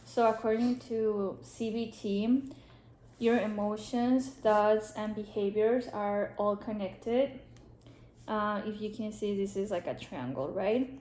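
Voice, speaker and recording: A woman whose first language is Spanish, playing a counselor in a role-played therapy session, asking gentle questions with many pauses and ums. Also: mid-range voice, slight background noise. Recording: roomy echo.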